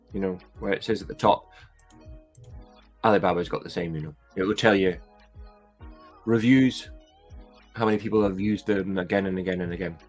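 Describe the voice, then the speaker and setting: A man with a low voice and a light Scottish accent, chatting casually to his viewers. He rambles, hesitates and restarts his sentences a lot. He has the easygoing tone of a hobby vlogger talking things over. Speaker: toy and claw machine vlogger.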